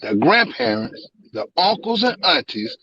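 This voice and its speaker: A man's voice: on the high side, big pitch swings, unhurried, sharp tone, dull audio. Emphatic forty-something man on a stream. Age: 40s. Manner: emphatic, repeats phrases for effect. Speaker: live-stream panelist. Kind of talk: heated casual discussion. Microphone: headset on a video call.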